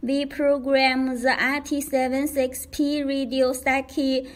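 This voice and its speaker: A woman reading a scripted product tutorial in a careful, deliberate way as a demonstrator. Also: high voice, level tone.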